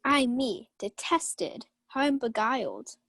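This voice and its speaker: A woman's voice: fairly high pitch, very animated, slow, fairly bright tone, no room sound, studio quality, full character voice. A young woman playing a proud, passionate, imperious Countess in a Shakespeare radio play. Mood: distressed. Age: young adult.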